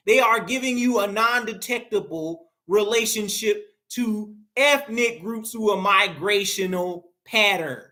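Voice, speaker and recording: A woman's voice: on the high side, pushed and bright. A woman in a casual talk giving blunt, profane, run-on explanations. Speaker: co-host. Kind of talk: casual heated commentary.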